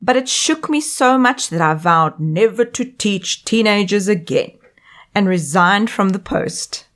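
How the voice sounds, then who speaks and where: A South African woman in her thirties presents a scripted solo podcast as its host and life coach. Her delivery is upbeat, and her pitch rises and falls a lot at a middling level. She reads from a script with plenty of expression. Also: lively intonation, measured pace, a bit bright.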